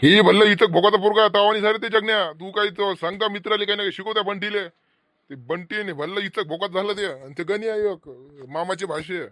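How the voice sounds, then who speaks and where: A man acts out an excitable middle-aged farmer uncle in a rural comedy cartoon. He talks fast in a high, dry-recorded voice. His manner is blunt, earthy and emphatic, with a Vidarbha Marathi accent. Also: no room sound. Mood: indignant scolding.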